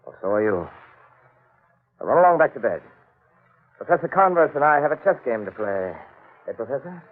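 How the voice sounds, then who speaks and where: A male actor plays a sardonic, commanding husband in a 1940s radio mystery. His delivery is theatrical and highly animated, with big swings in pitch. The old recording is narrow and tinny. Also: fairly quick, noisy background, lightly acted. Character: domineering, sardonic husband, turning frantic and protective under danger.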